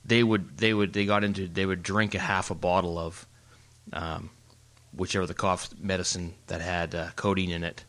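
A middle-aged man co-hosts a casual podcast from home. His voice sits a little low, and he jokes around and rambles, restarting his sentences often. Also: flat delivery, moderate pace, a bit bright. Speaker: podcast co-host. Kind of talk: casual chat. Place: home, Germany.

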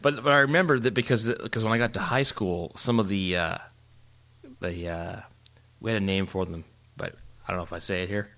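A man's voice: lowish voice, fairly quick, bright, forceful tone. A middle-aged man co-hosting a podcast from home, chatting casually, joking and rambling with restarts. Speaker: podcast co-host. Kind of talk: casual chat. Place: home, Germany.

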